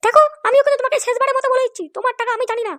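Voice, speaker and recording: A woman's voice: extremely high pitch, fairly even intonation, racing, a bit bright, no room sound. A woman voicing a defiant, short-tempered housewife in a comic cartoon, snapping back indignantly.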